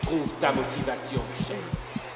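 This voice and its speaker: A man's voice: medium pitch, takes it slowly, vocal fry, loud music behind the voice. An actor playing an encouraging male football mentor in a cartoon. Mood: coaching.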